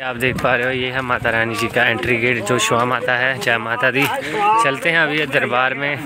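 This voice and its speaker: A man's voice: medium pitch, flat delivery, moderate pace, over a noisy crowd. A young man in his twenties talking casually to viewers on a travel vlog, filmed on a phone held at arm's length outdoors. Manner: chatty, addresses viewers directly, joking asides. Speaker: vlogger. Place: outdoors on a hill road and temple grounds. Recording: loud wind and road noise.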